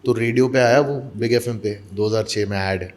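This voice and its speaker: Animated Mumbai man telling stories. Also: on the low side, normal speed. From Mumbai, India. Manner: animated storyteller mixing Hindi and English. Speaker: guest, actor and voice-over artist. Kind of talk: casual interview storytelling.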